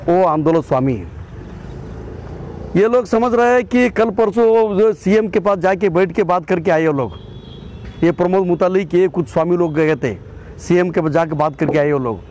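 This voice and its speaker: A man's voice: high-pitched, normal speed, darkish tone, slight background noise. A man with a Karnataka accent in his Hindi, giving a combative, impassioned statement as an activist and often repeating his phrases. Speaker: political activist and state president of an organisation.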